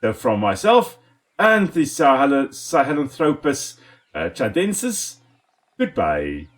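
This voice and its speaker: South African man, Afrikaans first language, animated mid-pitched voice, chatty enthusiastic gameplay host. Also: slow. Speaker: let's play host and ecologist. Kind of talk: casual gameplay commentary.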